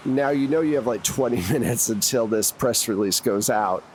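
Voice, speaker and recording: A man's voice: medium pitch, moderate pace, a little mellow, a little hiss or hum. A man, narrating conversationally as the game master of a tabletop game.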